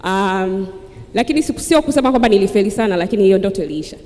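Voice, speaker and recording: A woman's voice: medium pitch, lively intonation, very fast, darkish tone. A woman on a panel telling her life story in a reflective, storytelling manner. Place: conference hall with audience. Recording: reverberant hall.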